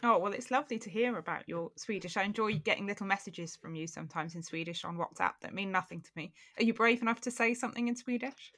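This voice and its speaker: A woman's voice: medium pitch, quick pace, few pauses, a bit bright, no echo. Rambling woman podcast co-host. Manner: rambling, reflective. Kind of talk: casual podcast chat.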